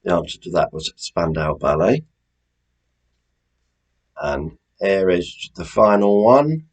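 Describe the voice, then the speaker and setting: A man with a British accent and a very deep voice hosts an informal quiz. He speaks very slowly, setting out each question in a chatty, unpolished style. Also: very animated. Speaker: quiz host.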